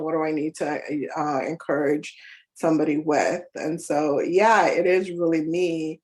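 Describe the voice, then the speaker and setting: Chatty lower-voiced woman, a therapist. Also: darkish tone. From Ghana. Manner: talkative, often adds "right?" and trails off with fillers. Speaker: guest therapist. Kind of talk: casual conversational interview.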